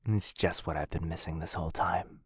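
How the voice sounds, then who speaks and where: A man playing a shy, affectionate young dog-person roommate in a boyfriend ASMR roleplay, speaking in a soft, halting, flustered way with a breathy, husky voice. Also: rumbling low, steady tone, dry recording, clean studio sound, exaggerated voice. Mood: content, tender.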